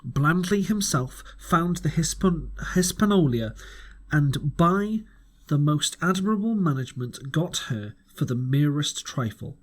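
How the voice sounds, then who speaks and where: Storybook squire, played by a male reader: grandiose, excitable and emphatic, with big pitch swings and a rough-edged voice. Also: unhurried. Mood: indignant. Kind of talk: storybook letter read aloud.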